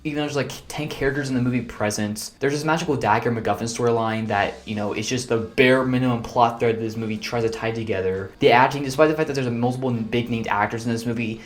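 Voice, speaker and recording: A young man reviewing movies in a casual, blunt rant full of fillers, sounding exasperated. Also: medium pitch. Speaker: video reviewer.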